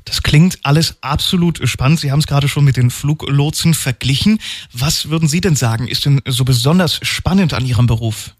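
Male radio presenter, smooth upbeat moderation, dry studio sound. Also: medium pitch, a little fast, no room sound. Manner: upbeat, polished presenter delivery. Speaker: radio host.

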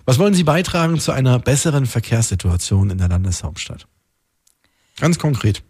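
A male radio interviewer with a soft, mellow tone and a slightly husky grain. He talks conversationally as host, in a close, dry studio sound. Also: mid-range voice, very animated, fairly quick, no room sound.

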